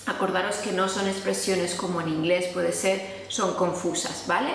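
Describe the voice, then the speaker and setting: A woman's voice: on the low side, fairly even intonation, moderate pace, crowd noise. A woman from Spain with a Spanish accent, teaching a casual online lesson and acting out sample dialogues. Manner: explanatory, acts out sample dialogues. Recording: echoey room with long reverb.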